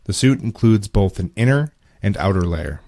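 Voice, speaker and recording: A woman's voice: rumbling low, animated, moderate pace, dark tone, no room sound, clean studio sound, voice pushed down. A woman playing a stern, by-the-book head nurse in an audiobook, with a formal, procedural way of talking. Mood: firm, formal.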